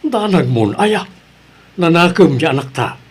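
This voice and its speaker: A man with a Filipino accent and a husky voice playing an indulgent, trusting father in a family drama. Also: a little high, very animated, a little slow, slight background noise. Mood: indulgent, reassuring. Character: indulgent, trusting middle-aged father and husband. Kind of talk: Filipino radio-style family drama acting.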